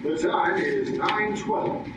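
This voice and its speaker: A man's voice: fairly high pitch, slow pace. A man playing a 1940s radio station announcer. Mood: formal. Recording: dull distant echoey hall, loud music.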